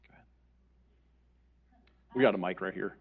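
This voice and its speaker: A man's voice: low-pitched, moderate pace, creaky, slightly rough. A man moderating a panel discussion, hesitant with many fillers, before opening it to audience questions. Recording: dull lo-fi audio.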